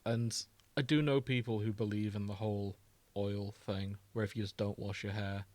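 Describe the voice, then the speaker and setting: A man's voice: fairly low pitch, little pitch movement, moderate pace, darkish tone, no room sound. A man co-hosting a podcast, chatting casually about fandom in a joking, conversational way. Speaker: podcast co-host.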